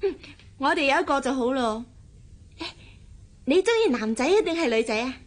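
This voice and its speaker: An actress playing a sweet, trusting young newlywed wife in a radio drama, with a Hong Kong Cantonese accent. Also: female voice, high voice, animated, fairly quick. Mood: playful, affectionate.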